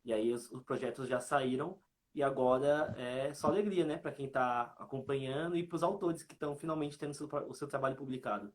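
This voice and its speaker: A man's voice: mid-range voice, fairly quick, slight background noise. A man with a Brazilian accent chatting informally as a guest on an online live stream about his work. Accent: Brazilian Portuguese. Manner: informal, colloquial. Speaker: guest, comics reviewer and page creator. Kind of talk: casual live chat. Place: online video live, remote call.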